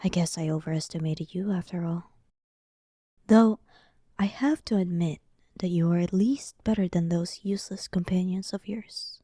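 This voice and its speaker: A woman playing a dominant, sarcastic demon queen in a roleplay audio drama, soft-voiced, teasing and condescending. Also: fairly low pitch, normal speed, slightly rough, no echo, clean studio sound. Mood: smug, condescending.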